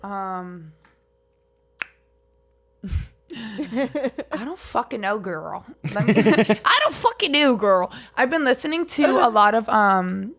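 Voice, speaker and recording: A woman's voice: mid-range voice, big pitch swings, slow, pauses often, a bit bright. A young woman telling stories in casual podcast chat, slangy, sassy and dramatic in her delivery. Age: young adult. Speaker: podcast guest.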